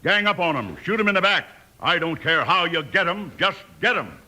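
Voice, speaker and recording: An actor playing a scornful, bullying western villain in a radio drama, barking orders with an American accent. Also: male voice, high-pitched, sing-song, normal speed, pushed and bright, heavily acted. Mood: scornful, vengeful. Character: ruthless, bullying male outlaw boss in the Old West. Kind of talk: 1950s western radio acting.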